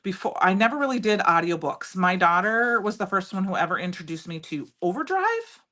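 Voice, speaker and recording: A middle-aged woman with a loud voice, chatting and rambling as she demonstrates a craft project live and answers viewers by name. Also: mid-range voice, big pitch swings, brisk. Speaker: crafting livestream host. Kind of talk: casual chat while demonstrating crafts.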